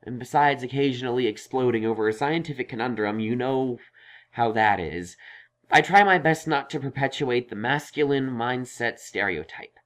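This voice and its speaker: A kindly young male pony acted by a man with a mid-pitched voice, from a fan audio drama. He is a scholarly, gentle soul who reassures others, yet grows awkward and halting as he talks. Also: expressive, close to an everyday voice. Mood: gentle, reassuring. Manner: halting, reassuring, self-conscious.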